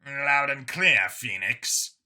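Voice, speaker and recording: A man voicing a businesslike alien police handler, brisk and procedural, in a sci-fi story. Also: mid-range voice, animated, sharp tone, heavily acted.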